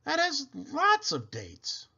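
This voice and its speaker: A man's voice: extremely high pitch, wildly swooping pitch, slow pace, creaky, bright, forceful tone, dull audio, pitched above the performer's own voice, heavily acted. A man voicing a cheerful, literal-minded housekeeper woman in an earnest, exclaiming storybook delivery. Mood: puzzled, pondering.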